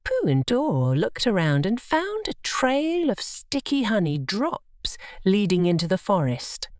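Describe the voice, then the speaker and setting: A woman's voice: mid-range voice, huge pitch swings, a little slow, a little mellow, no room sound, studio quality. A woman narrating scripted bedtime stories for children as a storyteller.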